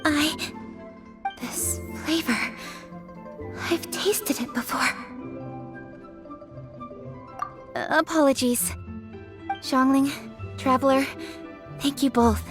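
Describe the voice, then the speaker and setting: A woman voicing a composed, earnest young noblewoman in a video game, speaking formally and articulately. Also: high-pitched. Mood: touched. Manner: formal, articulate, measured wording. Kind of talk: scripted video game voice acting. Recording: game music behind the voice.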